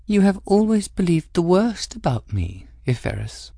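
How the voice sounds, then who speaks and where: A man's voice: high voice, big pitch swings, moderate pace, creaky, dark tone, no echo, studio quality, voice pushed up, exaggerated voice. A man voicing a poised, scheming aristocratic woman who speaks with cool, cutting mockery. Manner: poised, cutting, lightly mocking. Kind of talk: audiobook character voice.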